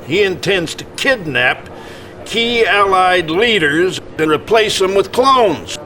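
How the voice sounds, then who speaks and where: Folksy American army boss, highish voice. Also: male voice, animated, slow pace, bright, forceful tone, full character voice. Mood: determined. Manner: folksy, plain-spoken, emphatic. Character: folksy, gruff older American army commander. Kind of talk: acted game cutscene briefing.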